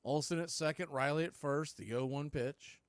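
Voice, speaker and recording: A man's voice: fairly high pitch, moderate pace, smooth, no echo, clean studio sound. A man giving live play-by-play commentary on a baseball game as the radio announcer.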